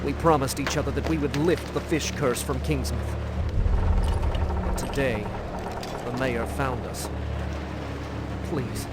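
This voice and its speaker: A man acting as an earnest renegade guard in a video game, speaking gravely and pleadingly in a deliberate way. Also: medium pitch, fairly even intonation, heavy background noise, lightly acted. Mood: urgent, pleading. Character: earnest renegade guard who freed a captive siren.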